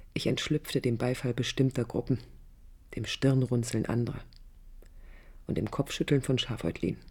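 An actress playing a proud, vulnerable yet resolute woman architect in a radio play, speaking in a reflective, deliberate, emphatic way with a breathy voice. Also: rumbling low, darkish tone, heavily acted. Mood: restless, hushed. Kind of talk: radio play acting, introspective first-person monologue.

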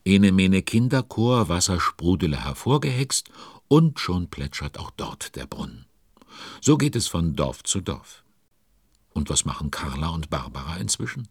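An actor as the droll narrator of a children's audio drama, musing aloud and commenting conversationally. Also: male voice, low voice, big pitch swings, a little slow, husky, no room sound, studio quality, full character voice. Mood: curious, storytelling. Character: droll storybook narrator who watches and comments along.

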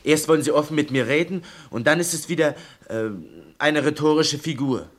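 A man's voice: on the high side, fairly quick, lightly acted. An actor in a German radio play voicing a blunt, indignant young man who speaks in a direct, challenging way.

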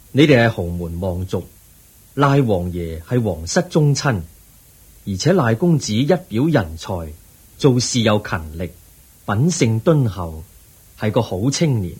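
A man with a Hong Kong Cantonese accent playing the Buddha, a calm, wise teacher who counsels gently and patiently in a radio drama. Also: lowish voice, slightly rough, full character voice.

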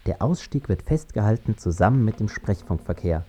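An actor playing a man who chronicles and doubts history in a measured, documentary-like radio drama style. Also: on the low side, lively intonation, warm tone, natural voice. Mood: measured, doubting. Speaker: radio play speaker. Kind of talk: scripted German radio drama, documentary-like narration.

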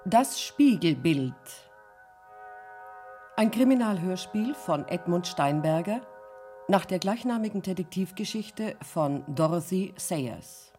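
A woman announces a radio drama from a script. Her voice is fairly low and soft-toned. She reads at a slow, deliberate pace. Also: lively intonation. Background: music.

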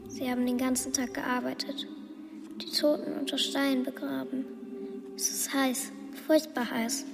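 A high, hushed girl's voice from a radio drama. She is dazed and halting, speaking in short phrases over clearly audible music. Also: little pitch movement, big-room sound. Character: dazed girl relaying visions of a disaster. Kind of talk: German radio drama acting.

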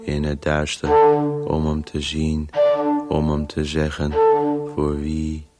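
An actor playing a weary, urgent disembodied voice in a radio play, speaking in breathless, halting fragments with a hushed, husky tone. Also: male voice, very deep voice, monotone, over loud music. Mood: weary, obsessive. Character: disembodied male Voice, obsessively chasing a story, weary and urgent.

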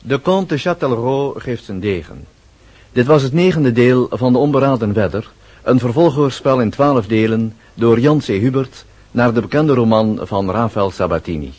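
Mid-pitched male radio announcer. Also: lively intonation, normal speed, a little mellow. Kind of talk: scripted radio drama credits announcement.

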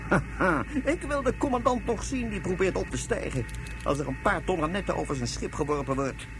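Animated, high-voiced man in a radio play. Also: brisk, loud music behind the voice. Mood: eager, confident.

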